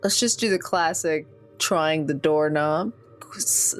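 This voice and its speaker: A woman's voice: deep voice, slow pace, faint music behind. A woman playing a tabletop roleplaying game, chatting playfully and full of exclamations. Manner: animated, exclamatory. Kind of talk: casual playful game chat.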